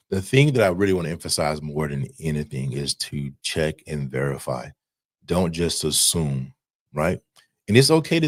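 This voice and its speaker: A man's voice: low voice, no echo, studio quality. A man speaking as an insurance broker in an informal advisory talk, emphatic, repeating key phrases and checking in with the listener. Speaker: insurance broker giving advice.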